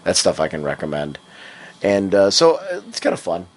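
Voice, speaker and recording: A man co-hosting a comics podcast, chatting casually and enthusiastically, his voice raspy from allergies. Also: low voice, huge pitch swings, normal speed. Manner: rambling, enthusiastic, many fillers. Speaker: podcast co-host. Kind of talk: casual comic book chat.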